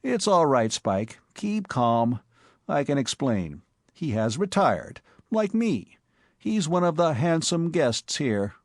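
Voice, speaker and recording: A man voicing a calm, witty young gentleman and former burglar with dry, ironic speech. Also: fairly high pitch, slow, no echo, pitched above the performer's own voice, full character voice.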